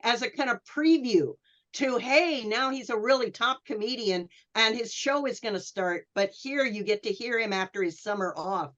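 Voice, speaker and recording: A woman talking as a panelist in a casual history discussion, with a hesitant, halting delivery. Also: on the high side, fairly bright tone. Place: remote call for a virtual convention. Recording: dull lo-fi sound.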